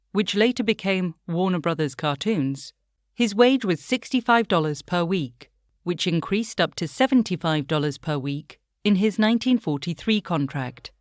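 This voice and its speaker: A woman reading scripted documentary narration in a measured, formal, explanatory way. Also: fairly low pitch, lively intonation, no echo, studio quality. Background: faint music.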